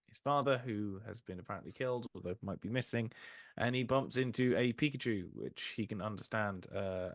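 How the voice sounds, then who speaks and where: A man hosting a casual film discussion, recapping in a rambling way with animated asides. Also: on the low side, no room sound, studio quality. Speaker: podcast host.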